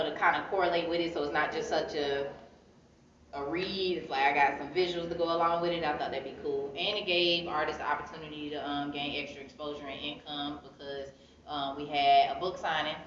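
A young American woman talking casually and reflectively as an interviewed author, recorded from a few feet away in an indoor gallery. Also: sits low. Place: indoor art gallery, seated against wall. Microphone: phone camera several feet away. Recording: echoey room, distant mic, dull sound, crowd noise.

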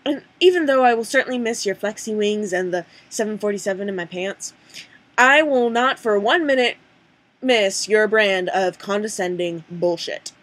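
A woman's voice: medium pitch, moderate pace, a bit bright. A young adult woman doing a dramatic comic reading of a letter, emphatic and sarcastically formal, recorded on a webcam at home. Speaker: amateur reader performing a letter. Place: small room at home, indoors. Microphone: computer webcam microphone at desk distance.

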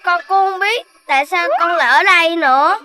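A performer voicing an excitable young puppy boy in a children's cartoon, talking in a childlike, exclamatory way. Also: very high-pitched, fairly quick, music in the background. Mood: puzzled. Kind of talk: animated children's cartoon dubbing.

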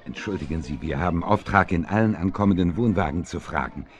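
A man's voice: sits low, expressive, brisk. An actor playing a courteous, official policeman in a German children's radio play, speaking formally. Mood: official, polite. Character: polite, official policeman on patrol.

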